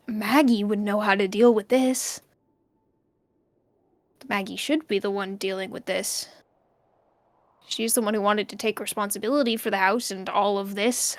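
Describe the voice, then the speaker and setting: A woman playing an anxious amateur investigator in an audio drama, dictating personal notes in halting, hesitant fragments. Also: medium pitch, no room sound, lightly acted. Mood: frustrated, wistful. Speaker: main character recording an audio journal.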